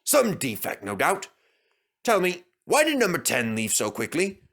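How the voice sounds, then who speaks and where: A man's voice: on the high side, wildly swooping pitch, a little slow, fairly bright tone, pitched above the performer's own voice, heavily acted. A dignified, stern railway boss from a children's story, put on by a man. His speech is formal, clipped and pompous, in a raised voice. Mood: suspicious.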